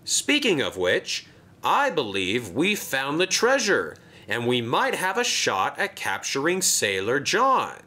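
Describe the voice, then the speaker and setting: A man's voice: medium pitch, huge pitch swings, bright, forceful tone, pitched above the performer's own voice, heavily acted. A man doing a fussy, commanding railway boss. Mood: formal. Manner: formal, brisk. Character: stout fussy male railway director, commanding.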